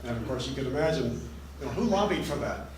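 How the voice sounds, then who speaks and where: A man's voice: medium pitch, animated, fast talker. A man from western Pennsylvania leading a seminar discussion, telling anecdotes in an affable way. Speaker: seminar speaker. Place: seminar room. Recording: echoey room from far off, some noise.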